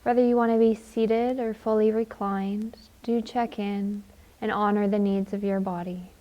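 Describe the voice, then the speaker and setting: A woman leading a guided meditation in a gentle, soothing manner, leaving long unhurried pauses. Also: medium pitch, flat delivery, muffled recording.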